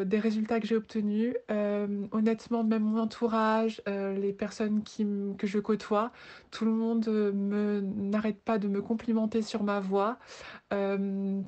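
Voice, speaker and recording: Woman in her twenties, mellow soft-edged tone, fairly even intonation, earnest testimonial, hesitant phrasing. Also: mid-range voice. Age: 20s. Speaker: voice therapy client giving a testimonial. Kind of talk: informal spoken testimonial.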